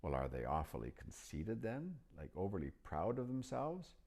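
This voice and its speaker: A man's voice: low voice, wildly swooping pitch, moderate pace, a little mellow, pitched above the performer's own voice, full character voice. Man doing an eager young girl. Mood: curious. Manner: eager, questioning.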